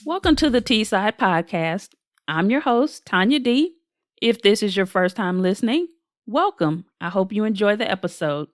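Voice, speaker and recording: Hoarse woman hosting a chatty podcast. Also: medium pitch, animated, moderate pace, no echo, studio quality, faint music behind. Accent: American Midwest. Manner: candid, chatty, self-deprecating. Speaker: podcast host and therapist. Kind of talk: casual solo podcast chat.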